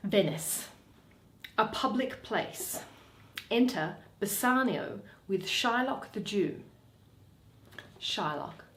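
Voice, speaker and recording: A woman's voice: mid-range voice, lively intonation, slow pace, pauses often, fairly bright tone, slight background noise. A woman host reading out a play script, naming scenes and speakers. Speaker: host and reader. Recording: roomy echo.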